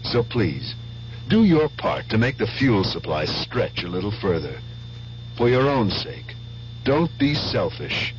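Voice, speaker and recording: A man's voice: lowish voice, sing-song, measured pace. A man reading a scripted public service announcement for radio. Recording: dull old radio recording, faint noise.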